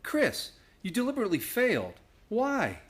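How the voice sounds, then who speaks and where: A man acts a loyal young male lawyer in a stage-style radio play. His voice sits a bit high and moves with a lot of animation. He comes across earnest, quick-witted and emphatic. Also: huge pitch swings, husky, exaggerated voice. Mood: concerned, pleading.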